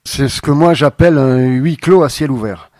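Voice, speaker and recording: A man guesting on a radio show, answering thoughtfully with frequent pauses and fillers. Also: medium pitch, warm tone, no echo. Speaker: playwright guest. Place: radio studio.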